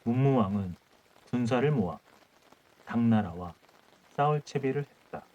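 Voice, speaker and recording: A man reads a folk tale at bedtime in calm, measured phrases. His voice sits in a middle register. The recording sounds a little dull and muffled. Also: mid-range voice. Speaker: audiobook narrator.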